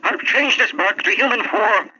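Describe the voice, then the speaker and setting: A husky old wizard tutor, pompous n bossy but kindly, real bright piercing voice, dull old 60s story record. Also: male voice, high-pitched, normal speed, exaggerated voice. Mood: instructive. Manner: theatrical, pompous, emphatic. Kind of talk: 1960s children's story record acting.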